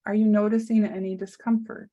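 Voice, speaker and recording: A woman leading a guided meditation, speaking softly and soothingly with long pauses. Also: medium pitch, little pitch movement, phone-quality sound.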